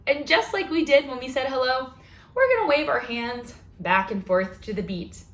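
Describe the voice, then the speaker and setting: Female storytime host for little kids: high, very lively, sing-song delivery, unhurried, in an echoey room. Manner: sing-song, exaggerated, talking to young children. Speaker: children's librarian, storytime host. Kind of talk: read-aloud storytime for young children.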